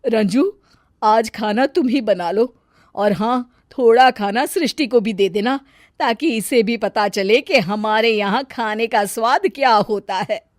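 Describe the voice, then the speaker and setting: A bossy, offended middle-aged mother-in-law in a family story, played by a woman. Her voice is high and deliberate, full of sarcasm and orders, recorded very dry. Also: dry recording, natural voice. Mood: sarcastic, bossy. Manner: sarcastic, commanding. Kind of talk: dramatized Hindi family story.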